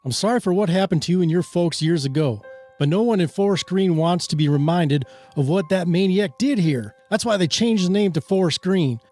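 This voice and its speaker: A bullying small-town sheriff read by a man for an amateur radio drama. His voice sits a bit high for a male, yet he is gruff, impatient and sarcastic, with light music underneath. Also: brisk, darkish tone, close to an everyday voice. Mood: stern, irritated. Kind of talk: amateur radio play reading.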